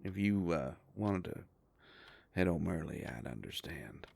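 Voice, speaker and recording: Man playing a very deep, gravelly werewolf detective. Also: expressive, no room sound, full character voice. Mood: friendly, reassuring. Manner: folksy, halting, gently teasing. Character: gruff, protective male werewolf private eye. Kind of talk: scripted roleplay audio drama, intimate.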